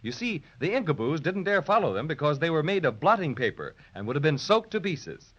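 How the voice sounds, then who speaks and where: A man's voice: on the high side, fairly quick. A man narrating a children's adventure serial as a theatrical 1930s radio storyteller. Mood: storytelling. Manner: animated, theatrical. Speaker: narrator, announcer. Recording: muffled 1930s radio recording.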